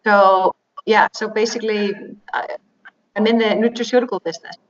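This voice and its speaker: A woman, answering interview questions in English with many pauses, fillers and restarts. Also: mid-range voice, steady tone. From Iceland. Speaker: interview guest, biotech executive. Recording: slightly dull, faint noise.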